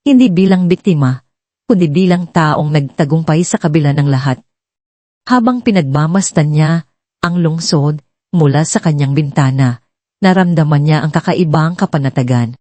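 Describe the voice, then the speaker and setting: A woman's voice: low voice, normal speed, warm tone, no echo. A woman reading a scripted dramatic story as a storyteller.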